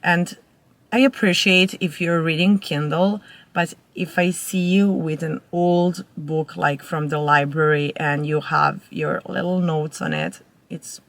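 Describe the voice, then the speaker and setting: Young serbian girl vlogging, native serbian w/ accent, creaky voice, rambly casual chat to camera. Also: deep voice, fairly even intonation, a little slow. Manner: informal, rambling with self-corrections.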